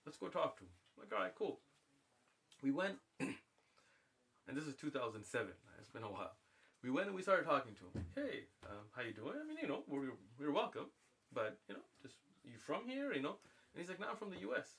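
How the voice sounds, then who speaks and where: A man from the United States spins a long story on a live stream, his voice bright and forward. He slips into the voices of the people he meets, so his delivery jumps and bounces. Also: a little high, a little fast, gravelly. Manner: animated, acts out voices in anecdotes. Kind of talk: casual storytelling on a livestream.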